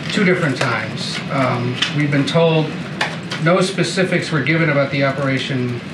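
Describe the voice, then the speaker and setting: A man's voice: medium pitch, moderate pace. A man, a television news reporter, asking persistent, probing questions at a press conference. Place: press briefing room. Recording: echoey room, loud background noise.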